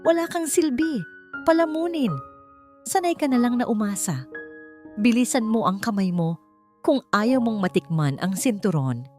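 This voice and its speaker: Woman acting shrill, cruel, angry Filipino woman. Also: animated, moderate pace, pauses often, darkish tone, lightly acted. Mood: shrill rage. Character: sour, cruel woman screaming abuse at a child. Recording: music behind the voice.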